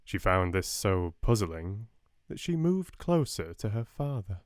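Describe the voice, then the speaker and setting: A soft-spoken man with a British accent, narrating an audiobook in a measured, restful bedtime style. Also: fairly low pitch, big pitch swings, dry recording, studio quality.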